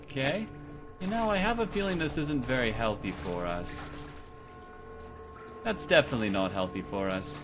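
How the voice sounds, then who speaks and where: A man's voice: medium pitch, big pitch swings, normal speed, pauses often. A man playing a horror game and chatting casually, self-mocking and talking to his character. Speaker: let's play gamer. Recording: game music behind.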